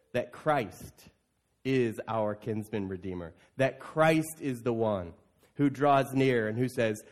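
A man's voice: mid-range voice, darkish tone. A man preaching as a pastor to his congregation, retelling scripture in a conversational, storytelling way and acting out casual paraphrased quotes. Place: church, speaking to an assembled congregation.

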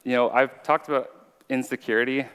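A man's voice: medium pitch, steady tone, fairly quick, a bit bright, clean tone. A man giving an earnest, conversational sermon as an informal preacher with a slight stutter. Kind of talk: conversational sermon with personal testimony. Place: large reverberant room. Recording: reverberant hall.

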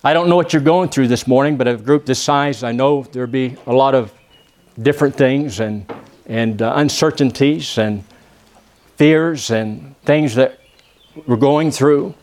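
A man from Texas with a Texan accent, preaching a church sermon and praying, often pausing with "uh" and asking the congregation for an "amen". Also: medium pitch, a little mellow. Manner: peppers phrases with "amen?" asking the congregation to answer; halting, with many "uh" pauses and restarts. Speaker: visiting preacher.

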